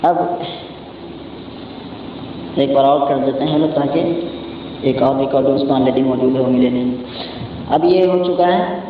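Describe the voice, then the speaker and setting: A man's voice: medium pitch, fairly even intonation, a little slow, pauses often. A man hosting a casual step-by-step phone app tutorial, often repeating little check-in phrases as he explains. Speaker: tutorial host. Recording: echoey, muffled, distant mic, noisy background.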